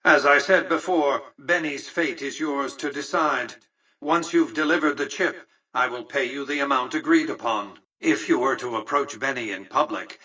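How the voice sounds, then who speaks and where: An imperious, calculating casino magnate for a video game. His mid-pitched voice is husky yet sharp, with measured, articulate phrasing. He sounds pompous and condescending, every clause carefully weighed. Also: recorded from a distance, poor audio. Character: imperious, calculating, aristocratic male casino tycoon and mastermind. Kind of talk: theatrical video game character dialogue.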